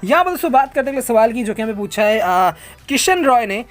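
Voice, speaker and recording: A man's voice: very high voice, big pitch swings, quick pace. A man answers viewer questions in a casual, informal vlog style, addressing listeners like friends.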